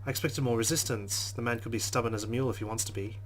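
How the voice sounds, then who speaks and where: A man's voice: mid-range voice, steady tone, racing, soft background music. A man hosting a game playthrough, reading the story aloud with earnest delivery and breaking into casual asides. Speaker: let's play host.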